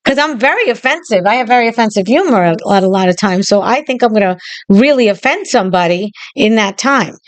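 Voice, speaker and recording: A woman's voice: medium pitch, animated, no echo. A woman hosting a podcast as a comedian, talking bluntly and emphatically in casual chat. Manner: blunt, emphatic, quick to opinion.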